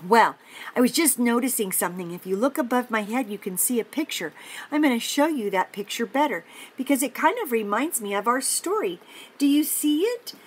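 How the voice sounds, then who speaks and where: An older woman reading a picture book aloud to young children, gently and encouragingly, with chatty asides and questions to the listener. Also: a little high, lively intonation, a little fast. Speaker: children's story reader and host. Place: indoor home office. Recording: clear background noise, room ambience.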